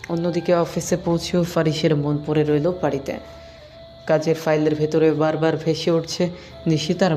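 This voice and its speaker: Narrator reading a love story, pretty flat even tone, music behind. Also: medium pitch. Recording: music under the voice.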